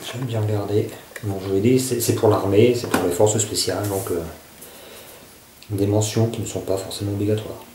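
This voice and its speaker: A man's voice: fairly low pitch, steady tone, moderate pace, noisy background. A man casually reviewing survival gear to camera. He often hesitates and searches for his words. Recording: echoey room.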